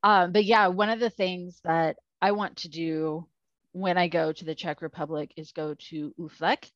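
A woman's voice: fairly low pitch, fairly even intonation, moderate pace, dry recording, highs cut off. A woman co-hosting a podcast in casual chat, rambling with lots of fillers. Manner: rambling, filler-heavy, self-deprecating. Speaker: podcast co-host.

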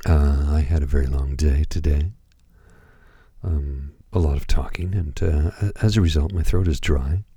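A man with an extremely low, dark voice speaks very slowly as a radio host. His voice is hoarse and husky, and he pauses often with ums. The sound is dry and close. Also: steady tone, dry recording. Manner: hesitant, many ums and pauses. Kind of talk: slow, calm radio presentation.

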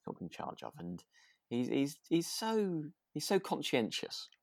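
A man co-hosting a podcast in relaxed, casual chat, feeling his way through thoughts with repeats and rephrasings. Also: on the high side, a little slow, creaky, dry recording, studio quality. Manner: hesitant, repeats and rephrases himself. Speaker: podcast co-host. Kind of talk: relaxed casual chat about a radio drama.

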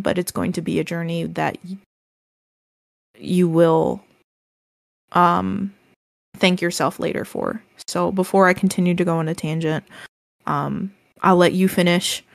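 A woman co-hosting a casual podcast, speaking gently and haltingly with many ums and restarts, her voice described as soothing. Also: fairly low pitch, gravelly, no room sound, studio quality. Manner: gentle, reassuring advice. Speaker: podcast co-host.

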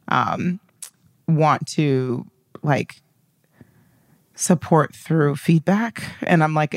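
A woman's voice: rumbling low, no echo, clean studio sound. A woman hosting a podcast in casual chat with a friend, often laughing as she talks. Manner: laughs often while talking. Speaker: podcast host.

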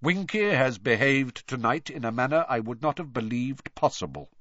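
Audiobook role, acted by a man: severe older male official, cold, deliberate, clipped, no pity, swooping emphasis. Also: medium pitch, animated, fairly bright tone, dry recording, muffled recording, lightly acted. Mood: cold anger. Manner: cold, slow, clipped authority.

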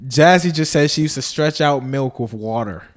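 A man's voice: medium pitch, normal speed, a crowd in the background. A man chatting casually and slangily with a group, with an emphatic, teasing, argumentative way of talking.

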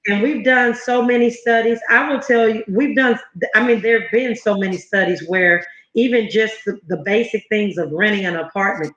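A woman's voice: mid-range voice, moderate pace, a bit bright. Fifties woman from southern california, earnest guest, echoey room. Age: 50s. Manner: earnest, emphatic storytelling. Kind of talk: interview conversation. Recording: roomy, slightly muffled sound.

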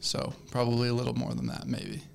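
A man's voice: mid-range voice, steady tone, a little fast, creaky, a little hiss or hum. A man in his twenties in casual podcast chat, rambling with lots of fillers and restarts, laughing easily and getting flustered when teased. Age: 20s. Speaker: podcast co-host.

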